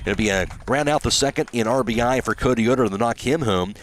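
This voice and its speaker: A man's voice: medium pitch, fast talker. An American man working as a radio sportscaster, giving a post-game baseball recap.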